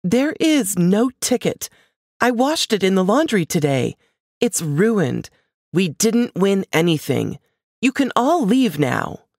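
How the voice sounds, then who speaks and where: A woman's voice: mid-range voice, sing-song, takes it slowly, a little mellow, no echo, studio quality, pitched above the performer's own voice, exaggerated voice. A woman voicing an insistent, startled wife in an audiobook suspense story, full of questions and exclamations. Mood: firm. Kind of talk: audiobook character dialogue.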